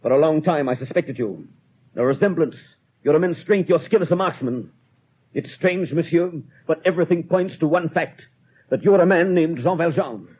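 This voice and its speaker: A man's voice: fairly high pitch, normal speed, husky. A man playing a stern, rigid police inspector in 1930s radio drama style, with a clipped, formal, unbending manner. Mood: stiff, formal. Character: stern, rigid, self-punishing male French police inspector. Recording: dull old 1930s radio recording.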